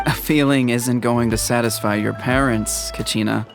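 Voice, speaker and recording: Game role: protective male chief played by a man, authoritative and guarded, mid-pitched with a creaky edge, over music. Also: steady tone, moderate pace, lightly acted. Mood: stern, wary. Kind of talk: video game voice acting. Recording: music behind the voice.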